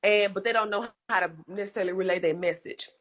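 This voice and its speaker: A woman's voice: mid-range voice, fast, fairly bright tone. A woman with a strong voice giving earnest advice in a casual chat, repeating her points for emphasis. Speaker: guest in livestream chat.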